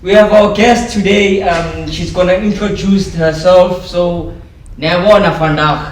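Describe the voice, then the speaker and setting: A man with a South African accent co-hosting a podcast, lively and slangy, switching between languages. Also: high voice, a little slow. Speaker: podcast co-host. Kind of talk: casual podcast banter. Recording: roomy, distant mic, faint noise.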